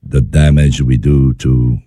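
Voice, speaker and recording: A man with an Italian accent, a guest chef speaking in a studio interview, halting with many fillers and restarts. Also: rumbling low, steady tone, dark tone, no room sound. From Italy. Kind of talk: casual interview.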